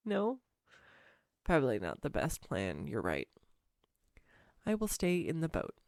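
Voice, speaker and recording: Woman acting as smitten awkward girl on a date, unhurried, gravelly fry, tender, playful, hesitant, romantic roleplay. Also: sits low, wildly swooping pitch, darkish tone, no room sound, clean studio sound, full character voice. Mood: playful, happy. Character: clumsy, sweetly awkward young woman smitten on a first date. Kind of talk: romantic audio roleplay addressed to the listener. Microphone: close USB microphone, dry room.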